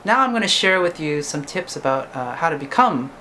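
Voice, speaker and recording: A man's voice: on the high side, sing-song, brisk, sharp tone. Young male vlogger, conversational, keeps checking in with "right?", faint background noise. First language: English. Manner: chatty, ends points with "right?". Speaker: singer-songwriter vlogger. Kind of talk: casual talk to camera.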